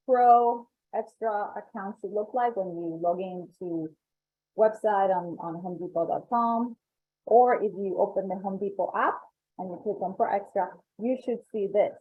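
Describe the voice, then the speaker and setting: A woman with a Colombian accent presenting member benefits on a webinar, upbeat and salesy, repeating points for emphasis. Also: medium pitch. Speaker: membership coordinator. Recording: tinny phone-like call audio.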